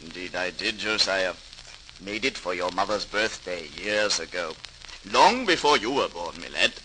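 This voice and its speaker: A man's voice: low voice, animated, normal speed, sharp tone, poor audio. An actor playing a proud, kindly potter father, hearty and fond, in a 1940s radio drama. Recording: hissy 1940s radio recording.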